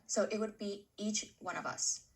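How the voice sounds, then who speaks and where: A woman's voice: medium pitch, normal speed, lightly acted. A young woman student actor playing a farmworker's daughter who recalls a hard-working childhood in an oral history dramatization. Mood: reminiscing. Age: young adult. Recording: distant microphone.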